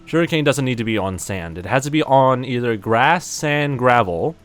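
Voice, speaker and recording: A man's voice: mid-range voice, expressive, moderate pace, fairly bright tone, soft background music. A man chatting casually while livestreaming a game, rambling with lots of fillers and poking fun at himself. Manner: rambling, many fillers, self-mocking. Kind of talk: casual gaming livestream commentary.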